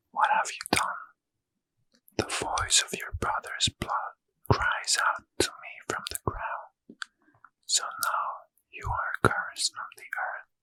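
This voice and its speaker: A man whispers a scripture reading for sleep, every word breathy and unvoiced. The delivery is slow and hissing bright, recorded dry and close to the microphone. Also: no room sound, poor audio. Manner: soft unvoiced whisper, measured. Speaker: reader. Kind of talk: whispered ASMR Bible reading. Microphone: close mic.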